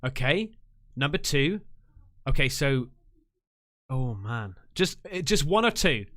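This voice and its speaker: A man hosting a live stream, chatting with callers in a chummy, excitable way full of slang. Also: mid-range voice, takes it slowly. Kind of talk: casual live chat.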